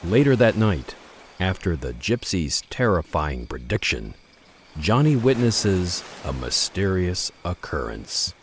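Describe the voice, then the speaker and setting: A man giving measured, scripted narration for an old-time radio drama. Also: sits low, sing-song, a little hiss or hum. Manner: measured storytelling.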